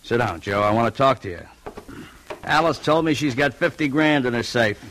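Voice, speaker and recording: An actor playing a scheming, manipulative small-time crook in a vintage radio drama, talking in a casual, coaxing, controlled manner. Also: male voice, medium pitch, normal speed, fairly bright tone, a little hiss or hum, close to an everyday voice. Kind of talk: 1950s radio drama acting.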